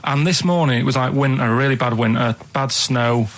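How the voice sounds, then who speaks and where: A man with a mid-pitched voice co-hosts a radio show. He tells stories casually, wandering through small details in earnest. His wording is plain and unpolished. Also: moderate pace. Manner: rambling, plain-spoken, earnest. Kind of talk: casual chat and anecdote telling.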